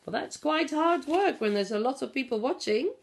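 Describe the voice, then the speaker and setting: A middle-aged woman reading a picture book aloud to young children, explaining and asking simple questions in an expressive way. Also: high voice, moderate pace, vocal fry. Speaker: pre-school storyteller. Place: small living room at home. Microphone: phone or tablet at about arm's length.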